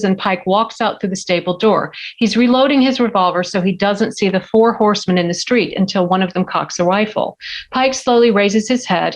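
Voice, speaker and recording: A woman's voice: mid-range voice, poor audio. A woman reading stage directions as the narrator of a scripted screenplay table read.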